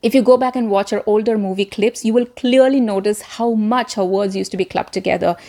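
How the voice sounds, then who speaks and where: A woman presenting an instructional talk as a voice coach, speaking directly and encouragingly to viewers with deliberate stress on key words. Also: mid-range voice, steady tone, darkish tone.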